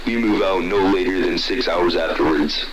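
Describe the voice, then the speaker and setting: A man's voice: low voice, normal speed, husky, low-quality recording. A man playing a blunt, determined military commander who swears as he addresses his troops in an audio horror drama. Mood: resolute, businesslike. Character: gruff, determined military commander rallying troops to rescue a soldier. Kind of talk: radio message acting in audio horror.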